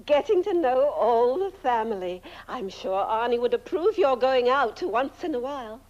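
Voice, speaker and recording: A woman's voice: on the high side, lively intonation, moderate pace, a little mellow, close to an everyday voice. A woman playing a sweet, dotty, genteel older spinster in a horror-comedy film, fluttery and cloyingly polite, on a dull soundtrack. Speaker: actress.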